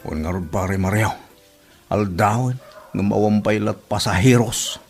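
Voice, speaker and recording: An actor with an Ilocano accent playing an excitable, lovestruck married tricycle driver in a radio comedy drama, talking in an exaggerated, smitten way. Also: male voice, fairly low pitch, unhurried, husky, faint music behind.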